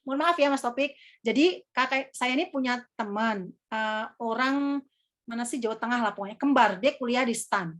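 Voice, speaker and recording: Young woman, high-pitched, easygoing trainer with a rambling, filler-heavy delivery. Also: normal speed, fairly bright tone. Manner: chatty, calls herself Kakak, many fillers. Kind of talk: casual workshop teaching.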